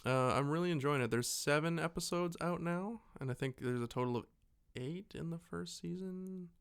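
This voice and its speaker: A man's voice: fairly high pitch, normal speed, vocal fry, clean tone. A man hosting a podcast, chatting informally and casually about films and shows. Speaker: podcast host.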